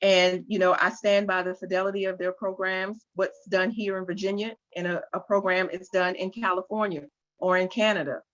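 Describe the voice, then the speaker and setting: A woman's voice: mid-range voice, level tone, normal speed, a bit bright, no room sound. A woman speaking candidly and steadily as a panelist in an online talk. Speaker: webinar panelist, program facilitator. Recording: dull lo-fi, dry sound.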